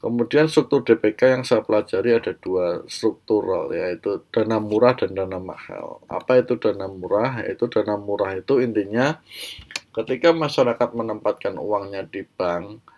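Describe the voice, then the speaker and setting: Male Indonesian educational presenter, lowish voice, mildly stuffed up with a cold, informal explanatory lecture delivery. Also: moderate pace, darkish tone. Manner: explanatory, checks in with short tags. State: congested with a mild cold. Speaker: presenter of an educational banking channel.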